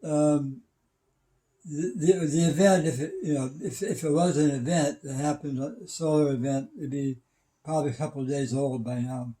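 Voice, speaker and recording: An older man in his seventies explaining things casually, in a halting, stammering, matter-of-fact way. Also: fairly high pitch, steady tone, dark tone, low-quality recording. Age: 70s. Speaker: retired systems engineer and radio hobbyist.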